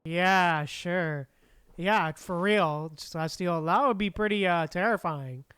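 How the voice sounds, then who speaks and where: A man's voice: high voice, slow pace, a bit bright, clear, resonant tone, no echo. A man giving casual live reactions as a game streamer, slangy and wry, often in short interjections. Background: faint game music.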